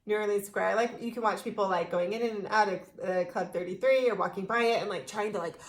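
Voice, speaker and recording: A woman's voice: mid-range voice, fast, echoey room. A young adult American woman co-hosting a video in an informal, conversational way. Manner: informal, peppered with "like". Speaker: co-host of a theme park video channel. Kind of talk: casual chat.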